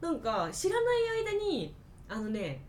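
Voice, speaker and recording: A woman co-hosting a casual podcast, telling personal stories in an animated way and acting out bits of dialogue. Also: a little high, unhurried. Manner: animated, acts out quoted dialogue. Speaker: podcast co-host.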